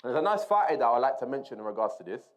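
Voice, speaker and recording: A man's voice: medium pitch, sing-song, very fast. A man teaching a religious lesson, explaining and translating Arabic quotes, repeating key phrases and checking with his listeners.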